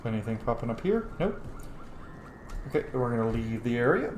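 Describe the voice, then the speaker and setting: A man's voice: mid-range voice, big pitch swings, fairly quick, noisy background. A man giving casual let's-play commentary, thinking aloud in an informal, sweary way. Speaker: gaming streamer. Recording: roomy echo, faint game music. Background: game music.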